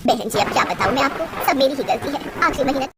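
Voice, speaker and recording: A woman's voice: high-pitched, fast, very noisy, lightly acted. A woman voicing kindly, earnest women passengers in a children's story cartoon, motherly and reassuring.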